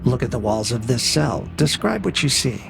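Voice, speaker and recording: Man playing a gravelly, coldly calculating interrogator AI. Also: medium pitch, very animated, over music. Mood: clinical taunting. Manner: measured, probing, condescending. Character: cold, calculating interrogator AI, clinical and menacing. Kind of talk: scripted audio drama dialogue.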